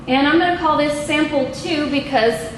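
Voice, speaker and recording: A middle-aged woman instructor giving an unscripted, methodical lab demonstration in a halting way, picked up by a camera a few feet away in a small room. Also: sits high. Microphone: camera mic a few feet away. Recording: echoey room with clear background noise.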